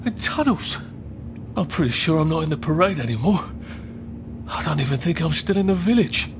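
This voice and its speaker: A man's voice: a little high, lively intonation, brisk, background noise. An actor playing an anxious, sardonic English man on the run in a video game, muttering to himself in politely exasperated tones. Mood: panicked. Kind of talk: video game character acting.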